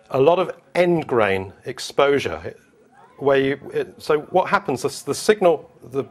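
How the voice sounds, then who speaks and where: A man giving an unscripted technical explanation as a product designer, haltingly repeating and rephrasing his phrases. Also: mid-range voice, a bit bright.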